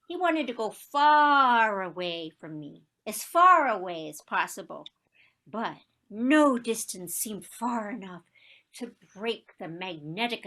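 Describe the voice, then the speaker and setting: A woman acting a wistful, romantic elderly woman in a stage play, with dramatic, deliberate delivery. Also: on the high side, bright, forceful tone, full character voice. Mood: nostalgic, yearning. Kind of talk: one-act stage play performed on a video call.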